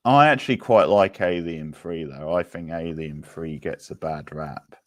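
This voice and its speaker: A man's voice: low-pitched, measured pace, no echo, studio quality. A man hosting a livestream quiz, chatting casually with viewers in a laid-back, conversational way. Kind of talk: casual live chat with viewers.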